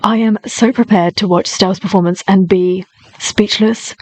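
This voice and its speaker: A woman's voice: medium pitch, fairly even intonation, a little slow, a little mellow, no echo, poor audio. A woman hosting her own reaction channel, talking in a chatty, eager and often apologetic way. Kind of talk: casual reaction commentary.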